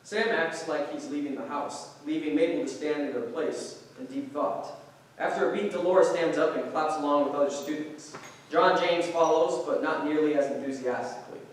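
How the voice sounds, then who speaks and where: In a very echoey room, a man reads stage directions for a play. His voice is fairly high and picked up from a distance. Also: moderate pace. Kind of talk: scripted narration in staged reading.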